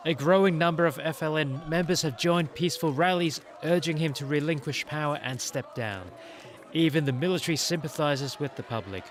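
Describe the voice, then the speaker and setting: A man's voice: fairly high pitch, fairly quick. A man working as a TV news reporter, reading a scripted news narration.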